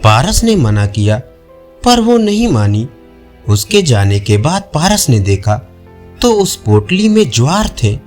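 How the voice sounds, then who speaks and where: A man's voice: mid-range voice, very animated, slow pace, darkish tone, soft background music. A man narrating a scripted audio folk tale as a storyteller.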